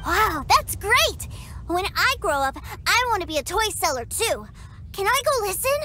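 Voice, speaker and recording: A woman's voice: extremely high pitch, normal speed, slight background noise, heavily acted. An eager little boy. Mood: excited. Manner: excited, wide-eyed questions. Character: eager little boy, adoring his big brother. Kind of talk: game cutscene voice acting. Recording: soft game music underneath.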